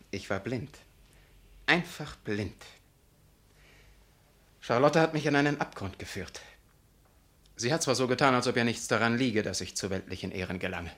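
An impassioned young curate in a radio drama. He is self-righteous and easily wounded, and declaims with a very animated pitch that swings widely. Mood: wounded fervour. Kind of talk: German radio drama acting.

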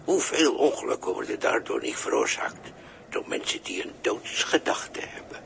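A man's voice: mid-range voice, wildly swooping pitch, normal speed, vocal fry, a bit bright, exaggerated voice. A man acting a cryptic, mocking sailor who preaches philosophy, in a 1960s radio drama. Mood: taunting, preaching. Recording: noisy 1960s radio recording.

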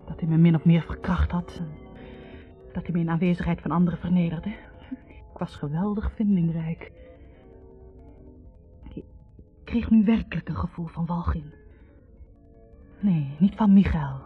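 An actress playing a wounded young woman in a 1960s radio drama, bitterly and haltingly confessing a painful past. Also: fairly low pitch, soft background music, close to an everyday voice. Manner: hesitant, halting, introspective. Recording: dull vintage radio recording.

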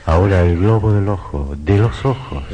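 Very deep-voiced male horror narrator. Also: moderate pace, warm tone, muffled recording, slight background noise. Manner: suspenseful, theatrical, sinister. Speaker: narrator of a horror radio play. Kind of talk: dramatic scripted horror narration. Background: music.

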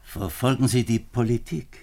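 A man's voice: medium pitch, normal speed, creaky, dark tone, natural voice. An actor in a 1950s German radio drama playing a troubled, earnest government minister, speaking formally and hesitantly as he confides. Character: troubled male French government minister, reluctant politician, earnest.

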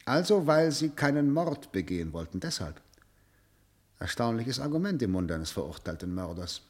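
A man acts an articulate, formal questioner who keeps pressing a prisoner. His mid-pitched voice swings widely as he probes, in the style of a radio play. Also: very animated, moderate pace, darkish tone, lightly acted. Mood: pressing, urgent. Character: probing, insistent male interrogator of a prisoner.

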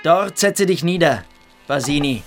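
A man's voice: a little high, lively intonation, measured pace, music in the background. A man playing a cruel, domineering cadet bully in a radio drama, commanding and contemptuous.

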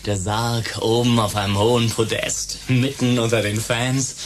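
An actor in a German science-fiction radio drama playing a vain, scheming, self-pitying rock star who drops English showbiz slang. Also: male voice, mid-range voice, little pitch movement, a little slow, background noise, natural voice. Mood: smug, casual.

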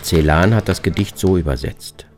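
Very deep-voiced male radio narrator. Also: moderate pace, background noise. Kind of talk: literary scripted narration with poem recitation.

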